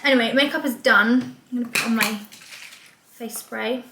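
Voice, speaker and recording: A woman's voice: on the high side, steady tone, normal speed, roomy sound, background noise. A young woman from Scotland with an English accent, talking through a casual vlog with lots of likes and ums. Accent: English with a light Scottish trace. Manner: bubbly, rambling, with lots of "like" and "um". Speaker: vlogger. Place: small room indoors. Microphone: camera facing her from a short distance.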